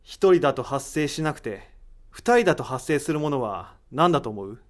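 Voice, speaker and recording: A man playing a thoughtful, analytical character in a voice drama, deliberate and explanatory, posing rhetorical questions. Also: medium pitch, lively intonation, close to an everyday voice. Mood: explaining, intent. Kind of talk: amateur voice drama acting.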